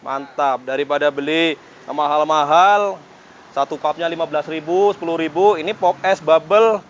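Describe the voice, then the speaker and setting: A chatty man hosting a street-food vlog, voice pitched a little high and eager. He keeps the vendor talking with quick follow-up questions. The audio is slightly muffled, with faint traffic in the background. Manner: chatty, keeps prompting with "ya, Bang?". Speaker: food vlogger interviewing a vendor. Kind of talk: casual street-food interview. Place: roadside drink stall by a busy crossroads.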